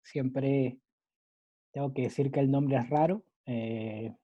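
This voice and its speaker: A man's voice: medium pitch, steady tone, takes it slowly. A Peruvian man with a mixed Latin American Spanish accent, teaching a catechism lesson in an earnest, explanatory way, often restarting with fillers. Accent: mixed Latin American Spanish, Peruvian base. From Peru. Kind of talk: catechesis lecture. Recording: dull lo-fi recording.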